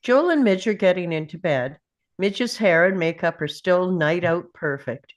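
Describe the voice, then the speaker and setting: A woman's voice: on the low side, expressive, measured pace. A woman reading screenplay stage directions as scripted narration at a table read.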